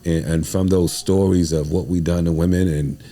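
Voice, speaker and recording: A man's voice: deep voice, fairly even intonation, normal speed, dark tone. A man in his forties telling stories casually as an interview guest, in earnest, informal, street-inflected talk. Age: 40s. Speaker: playwright and producer, podcast guest.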